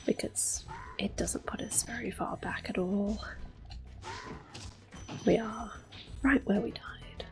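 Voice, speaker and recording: A woman giving gentle, unhurried ASMR gameplay commentary in a soft, whispered voice, reassuring and close to the microphone. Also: medium pitch, steady tone. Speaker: ASMR gaming host.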